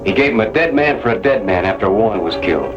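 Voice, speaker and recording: Man playing a deep, stubborn Western rancher. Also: lively intonation, fast talker, music in the background, lightly acted. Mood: grim, resolute. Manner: terse, tough Western talk. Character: stubborn, grim, commanding male rancher. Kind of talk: old-time radio western acting. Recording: muffled, noisy old radio broadcast.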